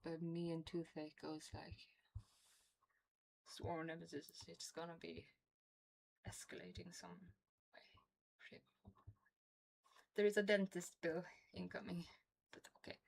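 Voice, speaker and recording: A woman's voice: fairly low pitch, lively intonation, measured pace, vocal fry, pauses often, low-quality recording. A woman from Norway with a Norwegian accent, chatting casually on a game stream with a toothache, rambling and laughing often. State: has a toothache.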